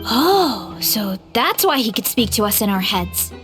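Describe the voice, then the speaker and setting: A woman voicing a friendly, easygoing young woman in a video game, gentle and unhurried. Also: mid-range voice, sing-song, music in the background. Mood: sweet.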